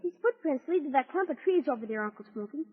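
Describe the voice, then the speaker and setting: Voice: sits high, fast. A performer playing an eager, earnest young boy in an old-time Western radio serial. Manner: excited, earnest. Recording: tinny old radio recording.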